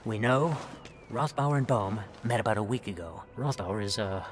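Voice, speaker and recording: A man playing a calculating intelligence officer in a war game cutscene, speaking in a measured, confident manner. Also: lowish voice, soft background music, natural voice. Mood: confident, scheming. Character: calculating male intelligence officer briefing a colleague. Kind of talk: video game cutscene acting.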